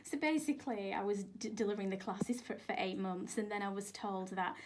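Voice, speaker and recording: A woman yoga teacher and life coach from England, speaking earnestly as a radio guest with hesitant restarts and soft asides. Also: medium pitch, brisk, crowd noise.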